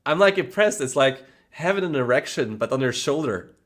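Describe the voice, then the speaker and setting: A man's voice: mid-range voice, lively intonation, normal speed. A man with a Polish accent and Polish as his first language, hosting a casual livestream chat, rambling and restarting his words, laughing often, chatty and frank. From Poland. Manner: chatty and frank, mild swearing. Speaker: livestream host.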